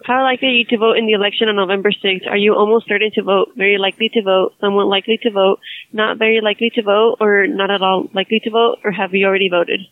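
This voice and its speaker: A woman's voice: medium pitch, steady tone, rapid-fire, dry recording. A woman survey caller reading polite scripted questions over the phone. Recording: tinny dry phone line.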